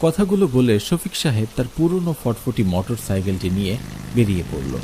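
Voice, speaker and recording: A man's voice: medium pitch, lively intonation, fairly quick, noisy background. A man narrating a scripted horror story for a cartoon.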